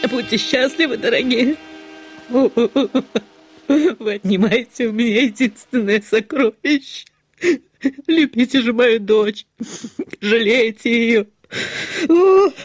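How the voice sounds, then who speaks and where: A sentimental old mother in a radio play. She gushes in a tearful, theatrical way, stretching her words slowly in a dry acoustic. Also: fairly high pitch, dry recording, soft background music. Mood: tearful, gushing. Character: sentimental old mother, flustered, gushing over her daughter. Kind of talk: radio play comedy acting.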